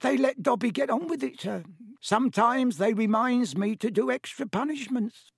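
A man voicing a small, servile male house elf in an audiobook, squeaky and strained, fawning and pleading. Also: normal speed, gravelly, slightly rough, no echo, studio quality, exaggerated voice. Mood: anxious, resigned. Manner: pleading, talks of himself in third person. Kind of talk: audiobook character voice.

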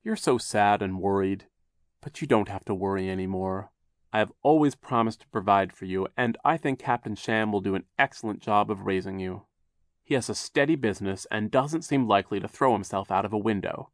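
A man voicing a fussy, well-meaning but obtuse gentleman in a storybook reading, fretful and patronizing. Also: on the low side, moderate pace, no echo, studio quality, close to an everyday voice. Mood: patronizing reassurance.

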